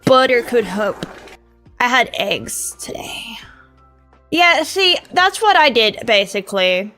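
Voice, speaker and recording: A woman's voice: a little high, sing-song, takes it slowly, bright, forceful tone, soft background music. A woman streamer with a sore throat, chatting casually in a rambling way, swearing and laughing.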